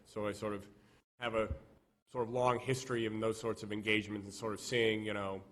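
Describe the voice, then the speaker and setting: A man's voice: fairly low pitch, flat delivery, a little fast, a bit bright. A man introducing himself on a panel, businesslike and heavy on fillers like "sort of" and "you know". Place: auditorium.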